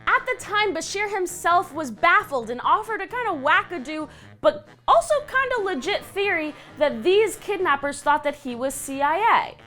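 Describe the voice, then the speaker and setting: Animated, high-voiced American woman hosting. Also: normal speed. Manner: theatrical, sardonic, slangy. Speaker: host of a web countdown show. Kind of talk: scripted comedic narration of creepy true stories.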